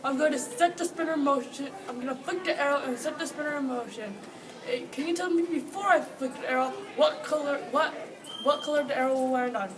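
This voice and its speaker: A female reader playing a proud king who runs a contest, reading the script haltingly. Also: high-pitched, background noise, lightly acted. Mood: commanding. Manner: halting reading with repeated words. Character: proud male king running a contest of wits. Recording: distant mic, audience chatter.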